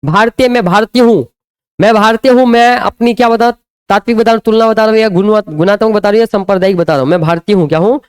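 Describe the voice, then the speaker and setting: Male teacher, high clear voice, live-stream grammar class, repeats every question and answer. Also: fast. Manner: drills students with repeated call-and-answer questions. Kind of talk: live online grammar lesson.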